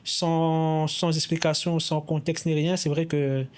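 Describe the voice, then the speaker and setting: A man with a fairly high voice co-hosts a relaxed podcast chat. He asks his questions slowly and carefully, pausing often to find his words. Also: clear, resonant tone. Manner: measured, questioning, hesitant with fillers. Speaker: podcast co-host, interviewer.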